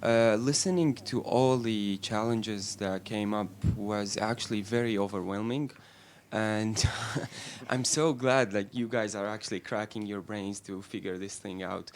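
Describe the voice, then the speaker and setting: A man's voice: lowish voice, measured pace, slight background noise. A man from Syria asking a panel a question, tentative with many fillers. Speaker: audience member asking a question.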